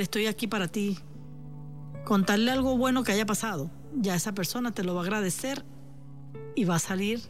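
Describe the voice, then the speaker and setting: A woman with a Venezuelan accent speaking on the radio as a therapist, calm and reassuring, addressing the listener directly. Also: mid-range voice. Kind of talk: supportive radio talk.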